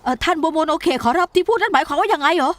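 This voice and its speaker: A woman's voice: very high-pitched, animated, racing, fairly bright tone, no echo. A woman voicing an earnest, easily flustered young teenage boy apprentice in a Thai anime dub. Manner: earnest, boyish, protesting. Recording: voice over background music.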